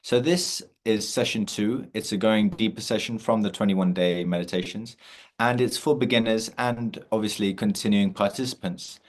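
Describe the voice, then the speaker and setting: A man hosting an online meditation session over a video call, talking in a relaxed way with many fillers and restarts. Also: fairly low pitch, normal speed. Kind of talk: casual online session introduction.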